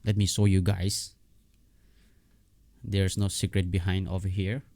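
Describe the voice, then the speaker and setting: A low-pitched man with a dark, relaxed tone walks through a casual computer tutorial. He speaks off the cuff, repeating himself and pausing to check that the listener follows. The sound is dry and close. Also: no echo. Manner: halting, repetitive, with frequent check-in words. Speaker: software tutorial host. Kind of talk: casual unscripted screen tutorial.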